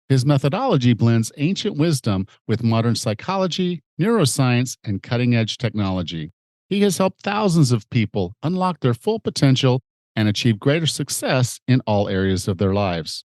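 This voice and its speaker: A man's voice: medium pitch, a little mellow, dry recording, clean studio sound. A man over forty hosting a fitness podcast, sounding a little nasal from a head cold, talking in an earnest, conversational way with fillers. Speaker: podcast host and fitness coach. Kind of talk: casual interview chat and scripted promo.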